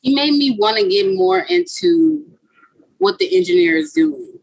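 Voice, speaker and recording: A woman's voice: fairly high pitch, big pitch swings, measured pace, darkish tone, dry recording, poor audio. A young woman from Guyana, a musician guest chatting casually on a video call interview, with a laid-back manner full of "like" and "you know".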